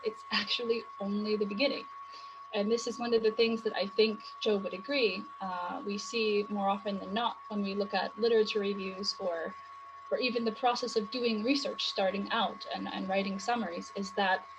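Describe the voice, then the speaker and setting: A woman's voice: medium pitch, noisy background. A woman writing tutor, losing her voice, explaining in long run-on sentences full of rhetorical questions. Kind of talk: conversational teaching talk.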